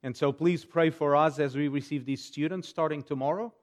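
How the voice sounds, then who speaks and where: Higher-pitched Portuguese-accented man addressing a church. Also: fairly even intonation, brisk. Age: 40s. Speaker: pastor and seminary teacher. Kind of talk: church address to a congregation, giving updates and prayer requests.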